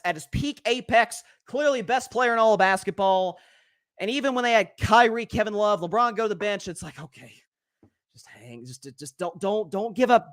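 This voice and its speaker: A young man hosting a solo sports talk show, talking quickly and animatedly, often repeating words as he builds a point and reading out viewer comments. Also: high voice, no echo, studio quality.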